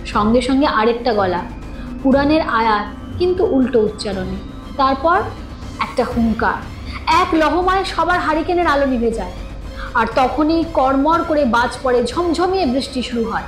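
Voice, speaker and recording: A woman's voice: sits high, expressive, normal speed. A woman reading a scripted suspense story in a dramatic storytelling manner. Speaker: narrator of a horror audio story. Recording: echoing studio voice with music underneath. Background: background music.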